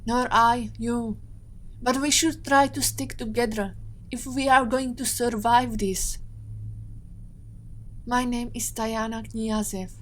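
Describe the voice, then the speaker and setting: A woman playing a composed, guarded captive agent in an audio drama, with measured and formal phrasing. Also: fairly high pitch, fairly even intonation, fairly bright tone, rough recording. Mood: calm, firm.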